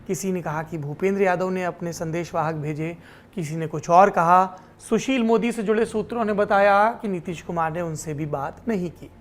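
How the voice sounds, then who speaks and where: A woman presenting explanatory political news commentary as a news presenter. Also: fairly low pitch.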